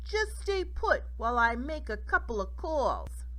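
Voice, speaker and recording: A woman voicing a brisk male garbage boss who gives breezy orders in a storybook. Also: fairly high pitch, very animated, a bit bright, voice pushed up, rough recording.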